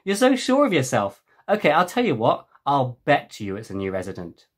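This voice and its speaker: A man's voice: medium pitch, huge pitch swings, normal speed, slightly rough. A man voicing a cheeky, playful boy adventurer in a storybook, bantering and teasing.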